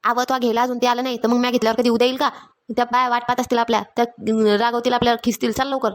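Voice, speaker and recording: A woman plays a nagging cartoon village housewife with a high voice. She talks extremely fast, impatient and complaining. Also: little pitch movement, natural voice. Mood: exasperated. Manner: complaining, colloquial. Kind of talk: Marathi comedy cartoon dialogue.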